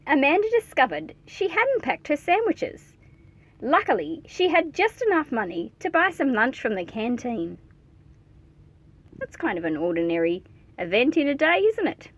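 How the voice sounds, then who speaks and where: A woman reading a children's picture book aloud in an expressive, playful way, speaking directly to her young listeners with chatty asides. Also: high-pitched.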